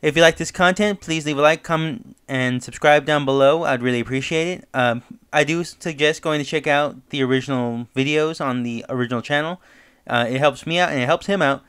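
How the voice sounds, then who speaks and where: A man's voice: on the high side, brisk, fairly bright tone, clean tone. A man hosting his own channel, casually recapping and reviewing a horror web series, talking loosely with fillers and self-corrections.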